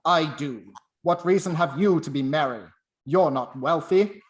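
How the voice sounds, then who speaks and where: Someone doing a grumbly old miser for a radio play, mid pitched, scornful and short. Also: a little slow, close to an everyday voice. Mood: stern, scornful.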